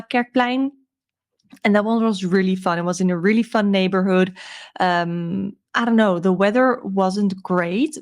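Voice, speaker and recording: A woman with a croaky voice giving a casual, chatty recap as a vlog host, informal and a little hesitant as she thinks aloud. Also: medium pitch. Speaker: crochet seller and video host.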